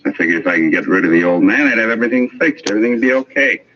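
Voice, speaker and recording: Low, fast-talking cornered American gambler actor. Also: male voice, expressive, lightly acted. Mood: desperate, bitter confession. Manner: terse, evasive, agitated. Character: cornered, defensive gambler man turned bitter confessor. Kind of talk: 1950s American radio crime drama acting. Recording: dull, hissy old radio recording.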